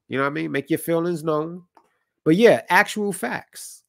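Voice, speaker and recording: A man's voice: on the high side, moderate pace, vocal fry, no echo, clean studio sound. A man in his thirties hosting a livestream, chatting with opinions and reading chat comments, a little stuffy from a cold. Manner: conversational, opinionated, reads chat comments aloud. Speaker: boxing livestream host. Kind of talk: casual talk with live chat. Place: home room with colored lights.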